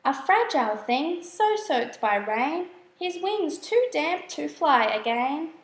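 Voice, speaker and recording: A woman reading children's verse aloud as a storyteller, in a measured, expressive way. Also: high-pitched, roomy sound. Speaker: storybook reader. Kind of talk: scripted children's verse narration.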